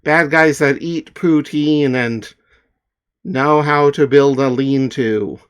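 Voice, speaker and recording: A man's voice: mid-range voice, takes it slowly, clear, resonant tone. A man casually introducing his own comic book before reading it aloud, joking with many fillers. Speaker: comics author and reader.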